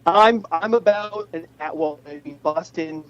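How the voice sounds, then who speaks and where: Muffled, high-voiced young New man, chatty. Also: a little slow. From New England, United States. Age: 20s. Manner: chatty, playful. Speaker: interview guest, pop singer. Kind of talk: casual interview chat. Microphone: phone. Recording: muffled noisy phone connection.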